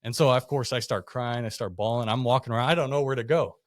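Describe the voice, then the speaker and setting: A man's voice: mid-range voice, fast talker, dry recording, clean studio sound. A young man from Russia, a podcast guest, telling his story in a chatty way with lots of "like" and "you know.". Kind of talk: casual storytelling interview.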